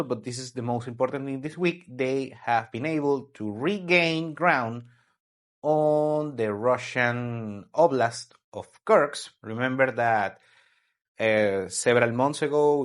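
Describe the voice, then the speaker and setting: A man from Venezuela hosting a weekly news commentary, explaining events in a halting way as he searches for words. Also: mid-range voice, fairly bright tone. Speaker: host.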